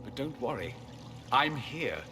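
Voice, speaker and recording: A man's voice: medium pitch, big pitch swings, unhurried, noisy background. An actor playing a witty, self-assured male mage in a fantasy video game, urbane and wry as he muses aloud. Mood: wry, reassuring. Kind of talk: theatrical game cutscene dialogue. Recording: echoing hall reverb under music.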